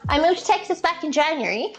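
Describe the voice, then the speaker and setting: Chatty Irish woman, higher-pitched voice. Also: expressive, fast talker, slight background noise. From Ireland. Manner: chatty, playful, reads out viewer messages. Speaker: live streamer. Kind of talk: casual live cooking stream chat. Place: indoors, in a kitchen. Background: music.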